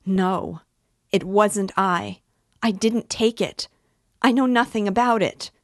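A woman's voice: mid-range voice, takes it slowly, no room sound, clean studio sound, pitched above the performer's own voice. A woman reading an audiobook voices a meek young woman denying a charge with a heartrending wail.

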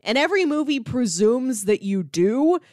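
A woman's voice: a little high, big pitch swings, moderate pace, darkish tone, no room sound, clean studio sound. A woman co-hosting a film podcast, chatting casually in a conversational way. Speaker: podcast co-host. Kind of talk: casual film discussion chat.

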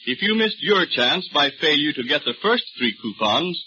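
A male radio announcer reading a scripted sponsor announcement with a crisp, emphatic delivery. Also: fairly high pitch, very animated, moderate pace, bright, forceful tone. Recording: dull old radio recording.

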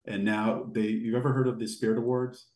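A man with a deep voice telling long, rambling anecdotes as a podcast guest. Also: a little fast. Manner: rambling, animated anecdotes with fillers. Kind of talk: casual storytelling in an interview. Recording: echoey room.

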